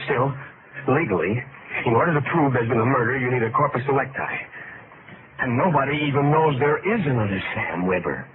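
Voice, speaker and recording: A man's voice: mid-range voice, animated, fairly quick, narrow, tinny audio, close to an everyday voice. An actor playing a meek, aggrieved man turned bitter and desperate in a 1950s radio drama. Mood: bitter, brooding. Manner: muttering, indignant, sputtering. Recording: noisy, band-limited old radio recording.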